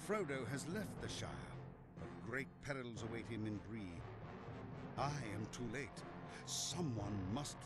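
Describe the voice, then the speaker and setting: An actor playing a grave old wizard who narrates a fantasy game in a solemn, measured, portentous way. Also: male voice, medium pitch, expressive, natural voice. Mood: worried, brooding. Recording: loud music behind the voice. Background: loud music.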